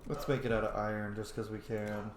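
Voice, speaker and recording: A man's voice: lowish voice, level tone, a little fast. A man giving casual let's-play commentary as a game streamer, muttering his choices to himself as he goes. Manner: thinks aloud, mutters choices to self. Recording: roomy echo, faint background noise.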